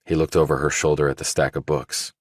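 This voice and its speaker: A man's voice: very deep voice, level tone, a little fast, slightly rough, no echo, studio quality. A man reading a scripted thriller audiobook as its narrator.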